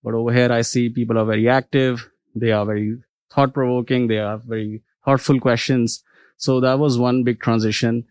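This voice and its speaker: A man from India, speaking in a measured, explanatory way as a panelist in a discussion. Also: mid-range voice, little pitch movement, a little mellow, clear, resonant tone, dry recording. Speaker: MBA student panelist.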